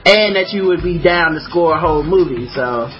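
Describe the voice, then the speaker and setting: A man hosting a podcast who says he has a high-pitched voice, joking around and swearing casually as he reads listener questions. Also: moderate pace, dull audio. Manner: joking, profane, easily amused. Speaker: podcast host. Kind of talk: casual chat.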